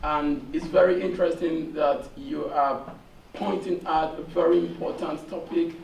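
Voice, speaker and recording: A hoarse man from Nigeria asks a long, earnest question from the audience, halting with many fillers. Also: fairly high pitch, steady tone, a little mellow. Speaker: audience member asking a question. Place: seminar room. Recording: echoey room, distant, faint noise.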